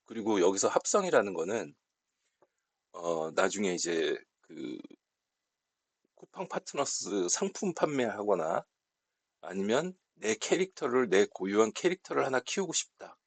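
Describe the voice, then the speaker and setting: A man explaining software settings step by step in an unscripted tutorial, speaking politely as an instructor. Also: lowish voice, very animated, a little slow, vocal fry, husky.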